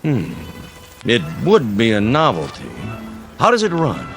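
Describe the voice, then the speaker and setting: Blustery older politician, pompous and wheedling, swoops around a lot, radio play w faint music. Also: male voice, medium pitch, wildly swooping pitch, a little slow, fairly bright tone, heavily acted. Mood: skeptical, probing. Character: blustery, shrewd veteran politician, older man, folksy. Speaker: actor in a radio drama. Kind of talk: old-time radio drama acting.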